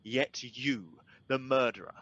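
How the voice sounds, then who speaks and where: A man's voice: mid-range voice, takes it slowly, fairly bright tone, dull audio. A man playing a lovesick, wounded young suitor in a radio Shakespeare play, pleading and bitter in rhetorical verse.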